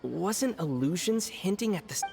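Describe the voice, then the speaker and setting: A man's voice: high voice, expressive, normal speed. A man voicing an earnest young traveler hero, reasoning things through in a video game. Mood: pondering. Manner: pondering, reasoning aloud. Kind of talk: video game character acting. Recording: clear noise behind the voice.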